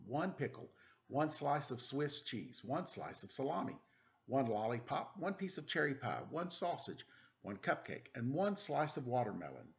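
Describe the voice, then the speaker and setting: A man in his forties doing a casual story time, chatting and reading aloud in a playful, expressive way. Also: medium pitch. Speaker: storyteller reading a picture book to children. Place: living room at home. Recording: dull, lo-fi webcam sound.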